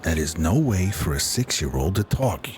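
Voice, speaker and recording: A man playing a gruff, blunt, exasperated single father in a sci-fi audio drama. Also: low-pitched, very animated, moderate pace, darkish tone, faint music behind. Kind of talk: amateur radio drama acting.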